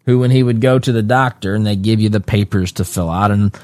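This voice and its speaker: A man hosts a solo commentary program, reading passages from an article and stopping to add his own remarks. His voice sits a bit low, and he talks in a measured way, with frequent fillers and restarts. The sound is dry and close to the mic. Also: darkish tone, dry recording. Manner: reads from an article and adds his own asides. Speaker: commentary show host.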